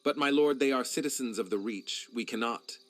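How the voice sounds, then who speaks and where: Man playing uneasy soldier, medium-pitched voice. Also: a little fast, soft background music, lightly acted. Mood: hesitant, submissive. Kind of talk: dramatic video game cutscene acting.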